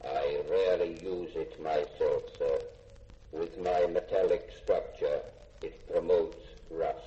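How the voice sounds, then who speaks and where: A man in a 1950s radio drama playing a polite, literal-minded robot servant who speaks formally, measured and deadpan. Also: sits low. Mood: formal, impassive. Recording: dull echoey noisy 1950s radio recording.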